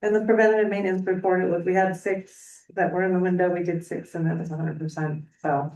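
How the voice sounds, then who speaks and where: Woman at a board meeting, casual, slightly low voice, distant, echoey room. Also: little pitch movement, fast, darkish tone. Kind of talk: meeting discussion.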